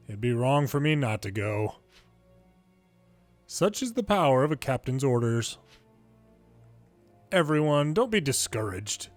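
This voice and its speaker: Man reading game dialogue over music. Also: mid-range voice. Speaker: video game commentator reading subtitles aloud. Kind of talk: dramatic read-aloud of game dialogue.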